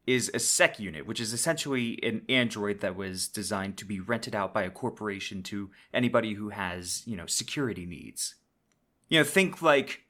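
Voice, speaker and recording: A man's voice: on the low side, fairly bright tone. A man hosting a podcast in a conversational, storytelling monologue, leaning on dramatic emphasis and dropping in wry, colloquial asides. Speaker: podcast host. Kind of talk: semi-scripted conversational narration, storytelling monologue.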